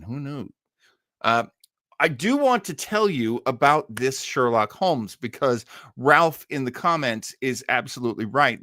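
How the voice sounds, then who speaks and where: Male streamer host, mid-pitched and unhurried, casual and jokey, with a dry sound. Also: pushed and bright, no echo. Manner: jokey, rambling asides. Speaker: livestream host. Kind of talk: casual chat commentary on old radio.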